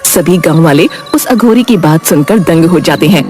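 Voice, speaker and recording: A woman's voice: lowish voice, expressive, moderate pace, darkish tone, soft background music. A woman storyteller giving scripted narration of a Hindi tale.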